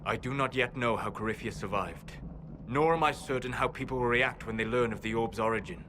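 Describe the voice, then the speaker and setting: A man playing a calm, scholarly elven mage in a video game, speaking in a grave, measured, formal way over music. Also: mid-range voice, background noise, close to an everyday voice. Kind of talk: video game cutscene acting.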